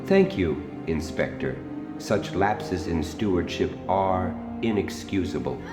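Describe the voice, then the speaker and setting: A man playing a grave, formal inquest chairperson, speaking in measured, solemn oratory in an audio drama. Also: deep voice, expressive. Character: grave, formal male inquest chairperson addressing an opera house. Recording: cavernous reverberant hall, faint music bed.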